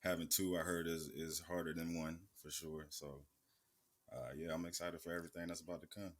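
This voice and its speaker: A young man, a football player co-hosting a podcast, chatting in a relaxed and plain-spoken way. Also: deep voice, a little fast. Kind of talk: casual chat.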